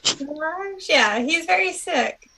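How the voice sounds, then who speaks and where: A woman with a high voice plays a tabletop game. She talks casually and a little tentatively, as in everyday conversation. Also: lively intonation, measured pace, pushed and bright.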